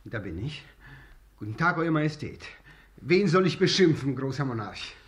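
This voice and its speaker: An actor playing a cheeky, blustering court jester in a radio play, exaggerated and theatrical. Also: male voice, fairly high pitch, big pitch swings, moderate pace. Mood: eager, servile. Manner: exaggerated mock outrage, theatrical. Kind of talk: German radio play acting.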